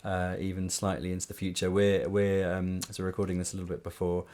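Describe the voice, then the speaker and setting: A man who plays in a band, chatting casually and haltingly to a concert audience. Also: sits low, level tone, darkish tone. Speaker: musician in a band.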